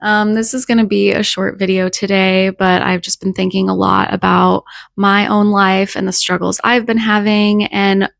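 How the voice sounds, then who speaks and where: A young woman speaks in a casual, earnest faith vlog, her voice scratchy and hoarse from a cold. There's a gravelly edge on her words, and the recording is dry and close with no room sound. She sits at a middle pitch and talks in a reflective, heartfelt way. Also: level tone, moderate pace, dry recording. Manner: earnest, reflective, with soft laughs. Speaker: faith vlogger. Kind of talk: casual devotional talk to camera.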